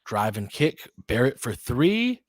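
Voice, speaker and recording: A man's voice: mid-range voice, big pitch swings, slow, no echo, clean studio sound. A man giving conversational, partisan basketball play-by-play commentary, reacting openly to the action as it unfolds.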